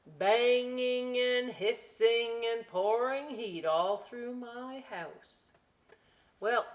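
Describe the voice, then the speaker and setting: Woman, very unhurried pace, medium pitch, expressive story time read-aloud, chatty asides for young listeners. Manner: expressive, drawn-out reading with conversational commentary. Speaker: library story time reader.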